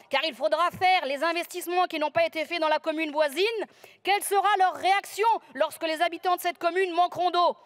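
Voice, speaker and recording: A woman delivering a formal prepared political speech in a firm manner, with rhetorical questions. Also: extremely high pitch. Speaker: politician speaking in a parliamentary debate.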